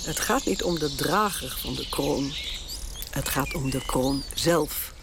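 A woman's voice: a bass voice, slow, creaky, very noisy, full character voice. An actress playing an elderly, stubborn queen speaking to her granddaughter, dry and imperious. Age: older.

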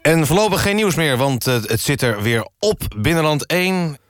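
A man playing a deadpan, hesitant presenter of a spoof radio news magazine, talking conversationally. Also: on the high side, normal speed, faint music behind, natural voice. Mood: deadpan, chatty.

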